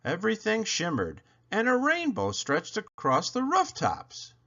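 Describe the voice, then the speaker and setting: A man reading a children's picture book aloud, expressively and with dramatic pauses. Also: high-pitched, huge pitch swings, vocal fry, pushed and bright, lo-fi sound. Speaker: read-aloud storyteller.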